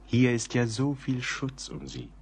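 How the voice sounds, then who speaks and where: An actor playing a courteous, rational gentleman writer in a radio drama, speaking in a formal, earnest way. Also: medium pitch, level tone, a little slow, creaky, a little hiss or hum. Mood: gentle, solemn. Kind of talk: German radio play acting.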